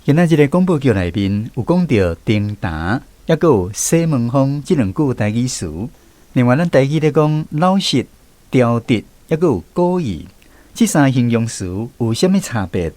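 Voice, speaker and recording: A male radio host reading a scripted segment introduction in a clear, even announcer delivery. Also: mid-range voice, normal speed, no echo.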